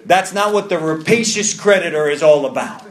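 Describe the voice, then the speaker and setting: A man's voice: on the high side, normal speed. Earnest New York man in an echoey room. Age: 30s. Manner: earnest, confessional, repeats and restarts phrases. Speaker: recovery meeting speaker. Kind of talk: personal testimony to a group. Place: meeting room.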